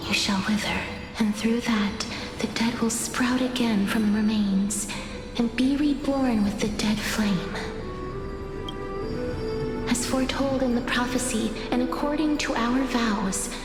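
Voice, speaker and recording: A young actress voicing a gentle elder sister resigned to sacrifice in a video game, soft, solemn and measured. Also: female voice, a little high, close to an everyday voice. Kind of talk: dramatic video game voice acting. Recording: cavernous reverb with music behind.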